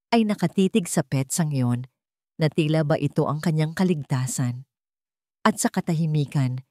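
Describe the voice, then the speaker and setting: Give the narration to a woman with a lowish voice in a dry, close recording. She reads a dramatic story melodramatically, setting out short phrases with deliberate care. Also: darkish tone, no echo.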